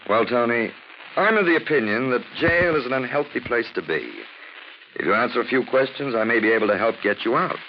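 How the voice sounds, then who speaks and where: Muffled hissy 1940s radio recording, male actor as urbane detective, flippant, ornate wording, highly animated delivery. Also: a little fast, natural voice. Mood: breezy, ornate. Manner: pompous, ornate, politely teasing. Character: urbane, flippant amateur detective, a gentleman sleuth. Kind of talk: 1940s radio drama acting.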